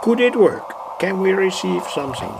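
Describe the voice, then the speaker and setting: A man's voice: high-pitched, animated, normal speed, creaky, noisy background. A man giving an informal, hesitant technical demonstration, repeating himself as he explains each step. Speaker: electronics hobbyist presenter.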